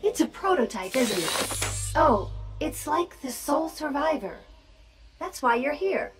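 A woman's voice: high voice, sing-song, slow, music in the background, heavily acted. A woman voicing a talkative, quippy drone companion in a video game. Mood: awed. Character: cheeky, talkative flying drone companion, female.

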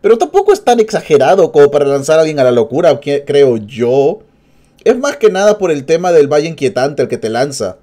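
A male streamer reacts casually to a video. His voice sits a bit high for a man and has a mellow, dark-edged tone. He wanders into joking asides as he talks. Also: sing-song, a little fast. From Peru.

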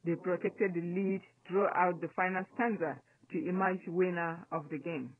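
A man's voice: high voice, fairly even intonation, moderate pace. A man presenting scripted sports news on the radio. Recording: tinny phone-like digital shortwave radio.

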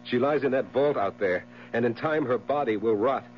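A muffled, noisy 1970s radio recording carries a male actor as a haunted widower losing his mind. His delivery is theatrical and overwrought, brooding and halting, in a middling male pitch. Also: natural voice. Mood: brooding despair. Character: tormented haunted widower sliding into madness. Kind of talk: 1970s radio drama acting.

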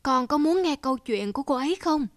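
A woman voicing a gentle, encouraging mother in an animated tale, speaking with patient, soothing manner. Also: high-pitched, fairly quick. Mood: gentle, reassuring. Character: gentle, encouraging mother of a young pianist daughter. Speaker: mother character. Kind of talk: animated fairy-tale dubbing.

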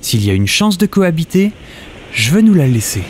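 A man's voice: a little high, sing-song, brisk, slight background noise. A man playing an upbeat young hero who tells his own adventure in a casual audio drama, chatting directly to listeners. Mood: hopeful, excited. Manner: conversational, addresses listeners directly.

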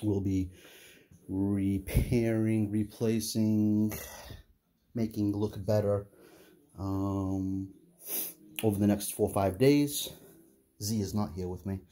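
A middle-aged man giving a casual, halting walkthrough of empty condo rooms, filmed on a handheld phone as he walks. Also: on the low side. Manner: relaxed, halting, many "uh" fillers. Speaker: property investor giving a walkthrough. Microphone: phone camera held while walking.

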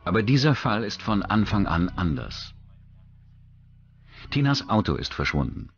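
Very deep male documentary narrator. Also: big pitch swings, a little slow, gravelly, dull audio. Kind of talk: scripted true-crime documentary narration.

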